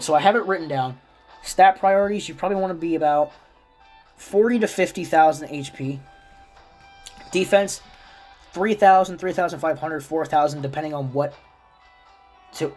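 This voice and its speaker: A man talks through a game guide in a relaxed, chatty way, his voice a bit stuffy and raspy from a lingering cold. He sits a touch higher than most men in pitch. Faint music plays quietly behind him. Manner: explains step by step, relaxed and chatty. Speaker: gaming video creator. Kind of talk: casual game guide commentary.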